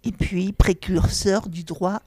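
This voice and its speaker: Mellow woman radio host. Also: on the low side, steady tone, slow pace. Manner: brief, encouraging prompts. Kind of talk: radio interview.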